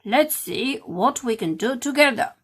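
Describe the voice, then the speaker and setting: A kindly, practical man who keeps a park, in a storybook tale, as a woman reader plays him. The voice is firm and somewhat husky, with a measured pace. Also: a little high, animated, fairly bright tone, full character voice. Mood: reassuring. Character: kindly, practical male park keeper Percy. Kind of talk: children's storybook character voice.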